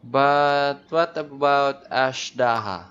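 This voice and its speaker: A man's voice: medium pitch, slow pace, a bit bright, clean tone, lo-fi sound. A man with a Filipino accent in a casual game playthrough, reading dialogue aloud and commenting. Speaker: gaming video creator.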